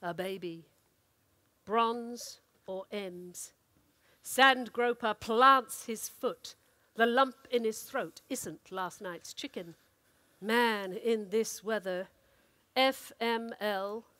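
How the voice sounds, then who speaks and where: A woman performing a dramatic, expressive reading of a comic story as an actor. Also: medium pitch, extremely slow, no echo. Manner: theatrical, expressive delivery.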